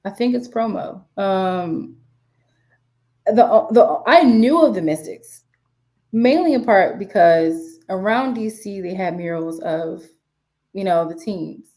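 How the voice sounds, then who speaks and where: A woman's voice: medium pitch, slow, dark tone. A woman in her twenties from the DC area, chatting casually as an interview guest with many fillers and restarts. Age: 20s. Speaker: guest, sports media writer.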